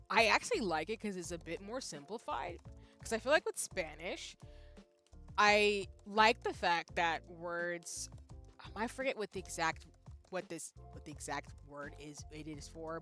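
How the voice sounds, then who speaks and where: A woman's voice: lowish voice, fairly bright tone. A young woman streamer chatting casually with her viewers, thinking aloud with frequent restarts. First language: English. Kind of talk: casual chat reading viewer comments.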